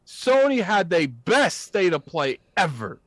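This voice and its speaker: A man co-hosting a podcast, reading out live chat messages in an animated, informal way. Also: high voice, measured pace, dry recording. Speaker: podcast co-host.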